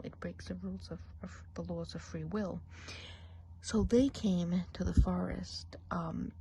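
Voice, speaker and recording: A woman's voice: on the low side, vocal fry, a little hiss or hum, rough recording. A woman playing a gossipy female forest witch in an ASMR roleplay, whispering and murmuring as she chats on. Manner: gossipy, mixes soft speech and whispers.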